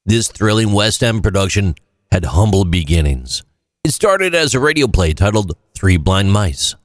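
A man hosting a short story program, reading scripted narration in a measured, dramatic storytelling manner. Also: on the low side, big pitch swings, dry recording, studio quality.

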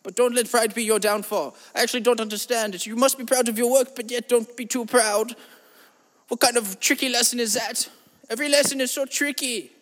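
A man putting on a grandiose self-styled guru with a thick German accent, preaching in a very high, lofty voice. He stretches his words out solemnly, then snaps into blunt asides. The voice rings with a long, hall-like reverb behind it. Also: fairly even intonation, a little fast, a bit bright. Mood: grandiose rambling. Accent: put-on German accent. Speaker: comedy podcast host. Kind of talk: improvised comedy monologue in character.

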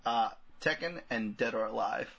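A man with a husky, rough-edged voice that still cuts through brightly. He chats as a podcast co-host, informal and slangy, circling back over his words. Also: mid-range voice, moderate pace. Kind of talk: casual chat.

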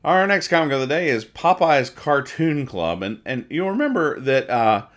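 A man's voice: on the high side, big pitch swings, moderate pace. A man hosting a podcast, casually describing and explaining comic strips in a rambling, mock-earnest way. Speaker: podcast host.

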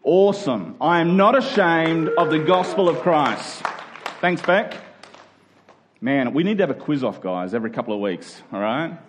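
A man preaching to a congregation in an emphatic but conversational way, stretching key words slowly and slipping into informal asides. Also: fairly high pitch, expressive, noisy background. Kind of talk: sermon to a congregation.

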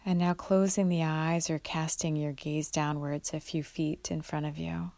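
A woman guiding a mindfulness meditation in a gentle, unhurried way, leaving long pauses between instructions. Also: sits low, level tone, creaky, no room sound. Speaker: guided meditation leader.

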